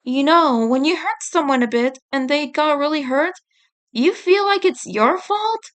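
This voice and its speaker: A blunt teenage turtle brother from a story, voiced by a woman, pitched high. He is guilt-ridden and awkward with feelings, and he speaks in unhurried lines. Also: expressive. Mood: guilty, upset.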